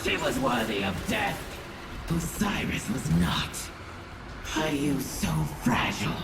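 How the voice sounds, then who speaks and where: Slow, creaky, boastful alien villain. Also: medium pitch, wildly swooping pitch, exaggerated voice. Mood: cold taunting. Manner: grandiose, slow, theatrical declamation. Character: ancient boastful menacing alien villain, taunting. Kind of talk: dramatic video game villain acting. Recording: echoing voice under loud music.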